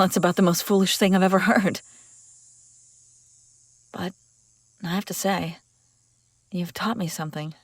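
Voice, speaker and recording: A woman's voice: on the low side, husky, no room sound. Woman acting as commanding fugitive princess, close and dry. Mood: moved, hushed. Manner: dramatic, expressive, speaking straight to the listener. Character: escaped enemy princess, commanding, incredulous, wry. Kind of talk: immersive audio roleplay acting, one side of a dialogue.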